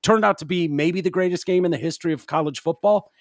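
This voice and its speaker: A man's voice: a little high, fast, no echo, studio quality. A man hosting a podcast, chatting casually in a blunt, profane and emphatic way. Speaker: podcast host.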